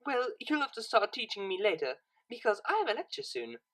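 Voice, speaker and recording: A woman's voice: on the high side, big pitch swings, brisk, fairly bright tone, voice pushed up. A woman voicing a refined, naive young cellist pony in an audio story, with a proper, tentative manner. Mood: eager, grateful. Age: young adult.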